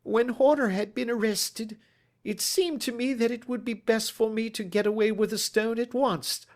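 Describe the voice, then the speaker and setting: Man acting a shrill, cringing little criminal. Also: steady tone, normal speed, natural voice. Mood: cowed, anxious. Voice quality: cracked, shrill voice. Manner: pleading, servile, quavering. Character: cringing, terrified small male thief, pleading for mercy. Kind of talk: audiobook character voice.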